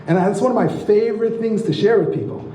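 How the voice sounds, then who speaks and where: A man with a high, mellow voice teaches a lecture and keeps tossing rhetorical questions at the audience. His tone is warm rather than sharp, and the room around him rings with a noticeable echo. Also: fast, a little hiss or hum. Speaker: astrology lecturer and author. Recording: roomy echo.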